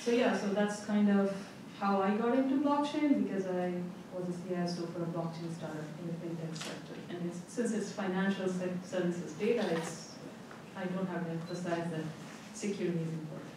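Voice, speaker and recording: A woman, a security researcher, introducing herself on a conference panel in a measured, explanatory way with occasional "you know"s. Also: on the low side, fairly even intonation. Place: conference hall. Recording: echoey hall, distant mic, faint noise.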